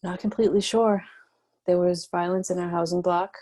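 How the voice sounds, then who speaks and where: Woman acting a low-voiced, guarded resistance leader. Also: fairly even intonation, normal speed, mellow, close to an everyday voice. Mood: somber, resolute. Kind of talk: screenplay table read, understated dramatic acting.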